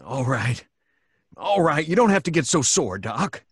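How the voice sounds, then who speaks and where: A man's voice: a little high, very animated, unhurried, slightly rough, no room sound, studio quality, exaggerated voice. A man playing a fearful, superstitious rustic townsman who stammers, in an old-time radio horror play. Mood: uneasy. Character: nervous, superstitious rustic townsman, reluctant sidekick.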